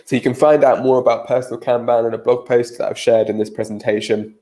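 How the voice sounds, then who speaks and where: A man's voice: mid-range voice, fairly even intonation, quick pace, dark tone. A British man with a cold and a nasal voice, giving a live-streamed career webinar presentation with frequent ums.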